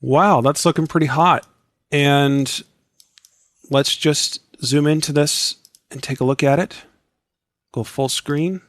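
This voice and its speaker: A man's voice: fairly high pitch, slow pace, pauses often, no room sound. Scratchy-voiced man walking through a tutorial. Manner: step-by-step instructive, relaxed and chatty. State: scratchy, losing his voice. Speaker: software tutorial instructor. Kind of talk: screen-recorded software tutorial.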